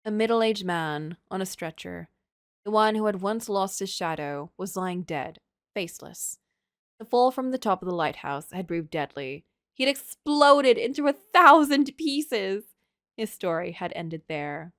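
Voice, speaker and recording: A woman's voice: medium pitch, animated, a little slow. A woman streaming a game, reading story text expressively and adding casual, joking reactions.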